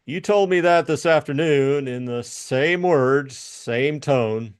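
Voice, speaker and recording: A man's voice: mid-range voice, takes it slowly, clear, resonant tone, voice pushed up, natural voice. A man playing a shrewd, cool private detective in a dramatized audiobook, blunt and knowing. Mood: probing.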